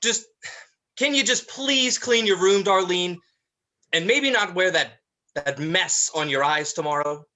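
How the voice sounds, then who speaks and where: A man playing a stressed, parental eldest brother, exasperated and halting, in a play reading. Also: high-pitched, pushed and bright. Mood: weary exasperation. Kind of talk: dramatized play script reading.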